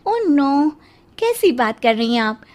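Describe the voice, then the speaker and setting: A woman's voice: sits high, very animated, slow, background noise, heavily acted. A woman voicing a proud, defiant young daughter-in-law in a cartoon story, breezy and self-assured. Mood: affronted.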